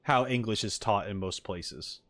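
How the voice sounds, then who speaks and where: A male streamer talks casually to his chat at a slow, easy pace. He slips into playful mock protest, and his voice sits in a dry space with little room sound. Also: lowish voice, a bit bright, no echo. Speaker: streamer reacting to game voice lines.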